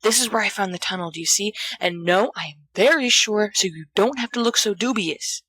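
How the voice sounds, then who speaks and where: A woman's voice: mid-range voice, a little fast, fairly bright tone, no room sound, pitched above the performer's own voice, lightly acted, poor audio. A woman reading a storybook as a cocky, flippant schoolboy prankster with an airy, dramatic manner. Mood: insistent.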